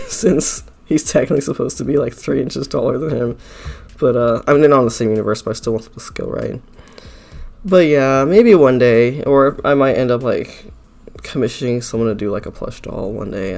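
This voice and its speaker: A man talking in a loose, rambling voice-over, hoarse and gravelly after being sick, a little stuffy, full of "like" and "uh" and quick apologies. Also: mid-range voice, a little mellow. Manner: rambling, with many fillers like "like" and "uh", apologetic. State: hoarse, gravelly voice from a recent illness; slightly stuffy nose. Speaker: art channel creator. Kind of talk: casual voice-over chat. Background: faint background music.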